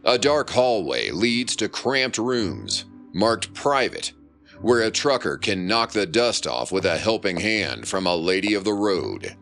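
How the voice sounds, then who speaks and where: A sardonic noir narrator for a radio mystery, low in pitch and very unhurried. His florid delivery is theatrical and savours each phrase, with lively swings in tone. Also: faint music behind, heavily acted. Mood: lurid scene-setting. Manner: theatrical, deliberate, wordy.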